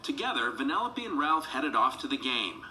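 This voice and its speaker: A man narrating a children's read-along storybook in an animated, dramatic storytelling manner. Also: medium pitch, normal speed, very noisy. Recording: music behind the voice.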